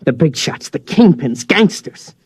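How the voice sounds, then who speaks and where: A man's voice: fairly high pitch, sing-song, a little slow, a little mellow, husky, dry recording, studio quality, full character voice. An actor playing a cynical, wary newsman who narrates a 1950s radio drama in a terse, hard-boiled way. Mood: bitter. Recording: old radio recording with music underneath.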